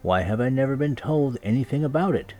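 A man's voice: mid-range voice, lively intonation, brisk, a little mellow, faint music behind, pitched above the performer's own voice, lightly acted. A man voicing an imperious, easily affronted emperor in a storybook, pompous and insistent. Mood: indignant.

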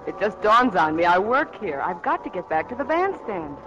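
A woman's voice: medium pitch, very animated, normal speed, muffled recording, natural voice. An actress playing a coy, playful young woman singer in a 1940s radio drama. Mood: friendly, soft. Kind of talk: 1940s radio acting and singing. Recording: 1940s radio broadcast over loud music.

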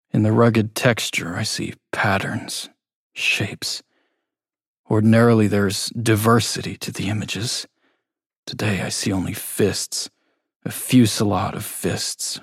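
A man acts out a despairing, bitter teenage boy telling his own horror story. The voice is on the low side, husky and brooding, often sinking toward a whisper. He speaks slowly and dramatically. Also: no room sound, studio quality, heavily acted. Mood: bleak despair. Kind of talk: first-person horror story narration.

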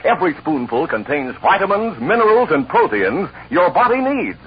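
A man's voice: a little high, very animated, moderate pace, a little hiss or hum. A man announcing and narrating a 1950s radio show in a dramatic, emphatic style. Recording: dull old radio recording.

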